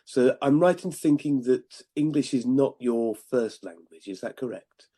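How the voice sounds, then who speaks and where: A man hosting an interview, asking polite questions and often trailing off softly at the ends of sentences. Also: mid-range voice, measured pace, husky. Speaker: interview host. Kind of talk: podcast interview.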